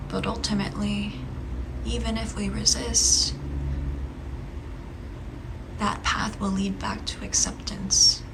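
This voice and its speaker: A woman giving a meditative spiritual musing, reflective and halting, with long pauses. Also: mid-range voice, little pitch movement, gravelly, noisy background. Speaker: spiritual oracle card reader.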